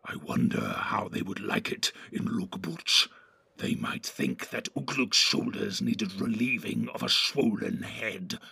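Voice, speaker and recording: Storybook orc villain: soft but evil, gravelly and breathy, sly sneering menace. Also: male voice, lowish voice, no room sound, full character voice. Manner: sneering, insinuating. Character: sinister, sneering male orc messenger, short and broad. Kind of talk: audiobook character voice.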